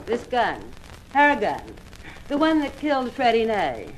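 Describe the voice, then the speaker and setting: An American actress playing a scheming, greedy blackmailer with a sugary, menacing manner in a 1950s radio drama. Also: female voice, fairly high pitch, huge pitch swings, a little slow, rough recording. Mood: coolly menacing. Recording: old radio recording, faint noise.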